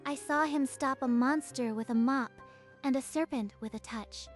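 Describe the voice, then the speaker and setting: A woman voices a loyal young female cadet in a manga dub, with a high voice. She speaks earnestly and stands up for others. Faint music sits behind her. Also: moderate pace, close to an everyday voice.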